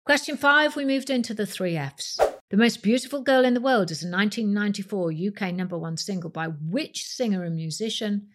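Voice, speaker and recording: A woman's voice: medium pitch, fairly quick, hardly any pauses. A woman with a British accent hosting a quiz podcast, reading scripted questions and answers to listeners.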